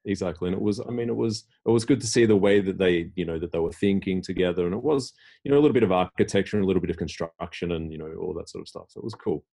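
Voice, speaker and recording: A very low-pitched middle-aged man, a guest from Australia talking through his career in a podcast interview. His tone is dark and mellow, and he rambles with lots of fillers and self-restarts. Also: rapid-fire, gravelly. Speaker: tech executive guest. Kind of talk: casual interview talk.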